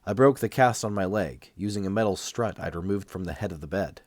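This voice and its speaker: Male narrator for first-person fiction, low register, measured and dramatic, slightly under a cold, dry sound. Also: darkish tone, no room sound. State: recovering from a cold. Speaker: amateur audiobook reader.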